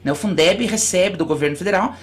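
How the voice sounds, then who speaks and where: A woman's voice: lowish voice, fairly quick. A school director from Brazil, a guest on a radio interview. She explains in long, earnest runs and often restarts her sentences. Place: radio studio.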